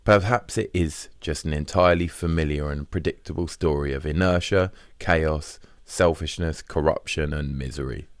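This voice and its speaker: A man's voice: a bass voice, moderate pace, hardly any pauses, dry recording, clean studio sound. A man reading a first-person diary as scripted audiobook narration.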